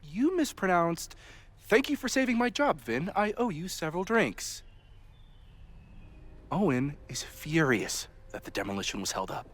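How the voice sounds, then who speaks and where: A man's voice: a little high, very animated, unhurried, a bit bright, full character voice. A man playing a harried, wry university society president in a video game, theatrical and sarcastic in an exasperated way. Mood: sarcastic, angry. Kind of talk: naturalistic video game acting.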